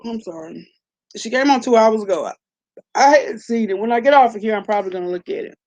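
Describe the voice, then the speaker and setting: Woman, slightly high pitch, casual livestream host, slangy, conversational, informal. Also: lively intonation, quick pace, darkish tone, muffled recording. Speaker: live-stream host reacting to online gossip. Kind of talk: casual livestream chat with viewers.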